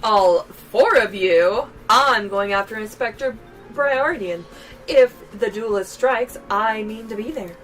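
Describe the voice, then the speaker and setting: A woman's voice: a little high, slow pace, a bit bright, faint music behind, pitched below the performer's own voice, rough recording. A woman voicing a brusque, cynical female reporter with a curt, scornful manner.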